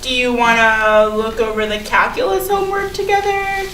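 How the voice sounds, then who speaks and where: A woman acting an anxious, earnest high school girl in a staged play reading. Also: normal speed, fairly bright tone, close to an everyday voice. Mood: sincere, hopeful. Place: indoor room at a staged reading. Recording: roomy, distant mic, faint noise.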